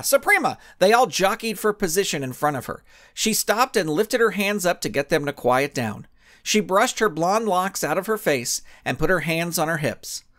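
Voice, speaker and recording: Animated male storyteller. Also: high voice. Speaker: author and narrator. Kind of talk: storybook narration.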